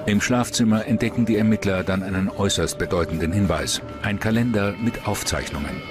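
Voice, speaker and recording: A man narrates a true-crime documentary in a low-pitched voice. The delivery is scripted storytelling, and music plays clearly behind him. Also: brisk.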